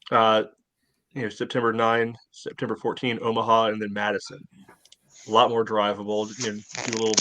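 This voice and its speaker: A man's voice: fairly low pitch, monotone, brisk, pushed and bright, rough recording. A man co-hosting a casual sports podcast, conversational and ready to question or counter what is said. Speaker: podcast co-host.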